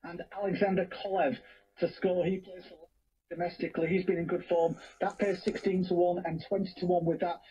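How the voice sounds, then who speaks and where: A man's voice: high voice, flat delivery, brisk, dark tone, husky. A man reading a sports betting promotion, played through an old valve radio. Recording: muffled old radio speaker.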